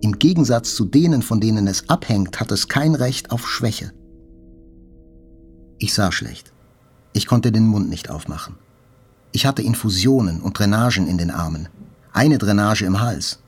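Husky, low-voiced male narrator. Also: darkish tone. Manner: measured, reflective. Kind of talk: literary first-person narration for radio drama.

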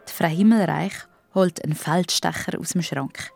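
A woman's voice: lowish voice, normal speed, vocal fry, a little mellow. Swiss German woman narrating with music. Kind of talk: scripted children's story narration.

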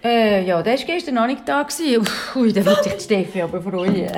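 Actress as a lively, affectionate mother. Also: mid-range voice, brisk, close to an everyday voice. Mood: wry, cheerful. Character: cheerful, affectionate woman, a mother and partner. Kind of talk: German-language audio drama, naturalistic acting. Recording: clear household noise behind.

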